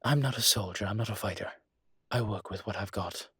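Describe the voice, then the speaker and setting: A man playing a suave, proud incubus demon in a roleplay audio drama, speaking in a husky voice with wry, articulate, emphatic phrasing. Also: on the low side, brisk, bright, forceful tone, no echo, studio quality, heavily acted. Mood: indignant, protective. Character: suave adult male incubus demon, proud, wry, protective of his kind. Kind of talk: roleplay audio drama, intimate conversational monologue.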